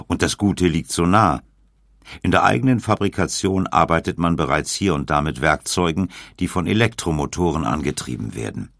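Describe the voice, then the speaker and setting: A man narrates a scripted documentary in a very low voice with plenty of gravel. His delivery is measured and uses pauses for weight. The sound is close and dry. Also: no room sound.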